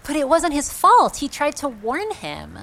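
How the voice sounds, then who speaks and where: A man's voice: very high-pitched, huge pitch swings, a little slow, vocal fry, a bit bright, voice pushed up, exaggerated voice. A man voicing a young woman reacting to a story with shaky, earnest questions. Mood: shaken. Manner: tremulous, earnest. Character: young woman, shocked, sympathetic listener.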